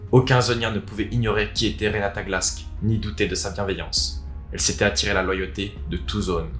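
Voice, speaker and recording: Male video host, lowish voice, roomy echo with music underneath. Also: fairly bright tone. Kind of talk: casual video introduction, then expressive reading of a written story. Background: background music.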